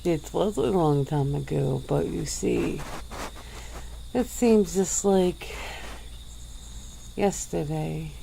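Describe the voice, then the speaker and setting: A woman voicing a centuries-old female vampire who speaks slowly and softly, with breathy little laughs, in an ASMR roleplay. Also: low voice, a little hiss or hum, full character voice. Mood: teasing, languid. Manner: slow, soft-spoken, with breathy little laughs.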